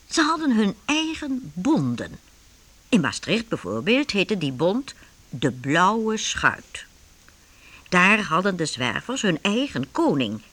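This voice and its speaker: A woman narrating a medieval adventure in a radio play, with a husky voice and expressive storytelling delivery. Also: lowish voice, heavily acted.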